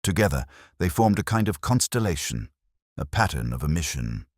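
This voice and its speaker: Guy playing a thoughtful bookish detective telling his case, real low voice that rises and falls a lot, measured delivery. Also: big pitch swings, no echo, studio quality, exaggerated voice. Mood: brooding, reflective. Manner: measured, literary, deliberate phrasing. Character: brooding, erudite middle-aged male English detective, reflective. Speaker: narrator of a detective mystery. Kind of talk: scripted first-person mystery narration, audio drama.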